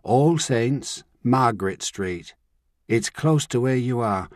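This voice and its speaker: A man puts on a composed older woman, a grandmother who keeps her voice steady and measured. Her voice sits a little higher than his own, and the sound is close and dry, with no room around it. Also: no room sound. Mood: calm, firm. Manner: calm, measured, reassuring.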